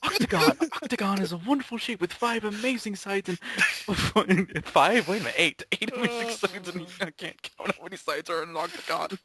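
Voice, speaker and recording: A man joking and rambling with friends as a channel host, excitable and playfully confrontational, often laughing. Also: high voice, normal speed, few pauses, crowd noise. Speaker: host of a group gaming channel.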